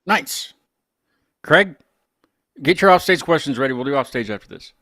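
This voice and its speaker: A man's voice: a little high, lively intonation, normal speed, sharp tone, dry recording, clean studio sound. A man hosting a live music show, chatting casually as he interviews a band.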